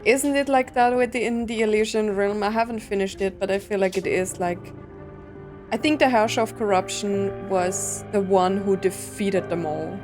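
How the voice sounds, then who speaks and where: A woman's voice: mid-range voice, steady tone, moderate pace. A woman giving casual game playthrough commentary, musing aloud and asking herself questions as she follows the story. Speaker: game streamer. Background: game music.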